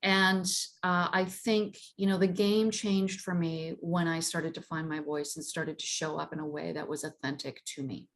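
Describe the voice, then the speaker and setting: A woman speaks as a panelist on a video call with a somewhat low voice. She delivers emphatic, encouraging advice. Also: fairly even intonation, normal speed, few pauses. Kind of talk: panel discussion.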